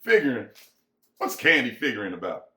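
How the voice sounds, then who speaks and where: A man's voice: medium pitch, sing-song, brisk, sharp tone. A man acting as bitter, lonely American ranch hand, echoey. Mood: wary, gruff. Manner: folksy rural vernacular, musing and pressing. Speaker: actor. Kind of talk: dramatic reading of a novel. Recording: echoey room.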